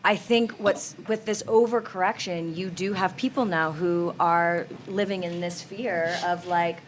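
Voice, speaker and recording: A woman's voice: lowish voice, steady tone, a little slow, slight background noise. A woman in a casual roundtable discussion, talking informally and voicing little quotes as she makes her point. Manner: conversational, frequent "like", acts out quotes.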